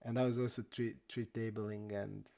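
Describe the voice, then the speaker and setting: A young man from Croatia, not an English speaker, chatting casually and haltingly while streaming poker. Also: lowish voice, flat delivery, creaky, warm tone. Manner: halting non-native English, many restarts and fillers.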